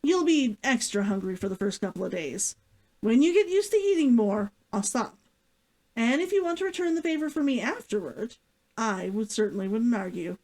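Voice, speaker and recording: A woman voicing a gruff but caring male hunter in a story, instructing in a measured, matter-of-fact way. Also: a little high, big pitch swings, dark tone, low-quality recording. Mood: practical, caring. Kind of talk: fiction read-aloud character dialogue.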